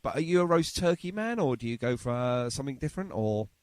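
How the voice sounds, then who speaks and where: Chatty male radio host, very animated pitch. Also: fast talker, dry recording. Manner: effusive, chatty, repeats phrases. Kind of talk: casual chatty radio interview.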